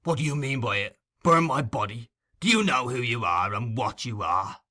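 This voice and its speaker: A man playing a brutish robber from a classic storybook. He is a growling bully with a forceful, contemptuous delivery. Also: medium pitch, normal speed. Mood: angry.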